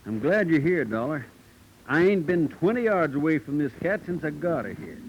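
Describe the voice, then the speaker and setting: Through a noisy old radio recording comes a tough cowboy trapper. He talks in a plainspoken Western drawl full of rustic slang, pitched a little high, in 1940s radio drama style. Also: very animated, moderate pace, highs cut off. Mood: gruff, folksy. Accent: American cowboy drawl, Western. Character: folksy, tough cowboy big-game trapper, male.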